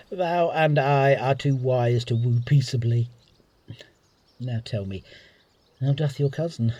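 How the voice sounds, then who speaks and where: An actor playing a witty, teasing soldier lord in love, in a Shakespeare radio play, with playful, expressive delivery. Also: male voice, medium pitch, slow, long pauses, highs cut off. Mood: playful, amorous.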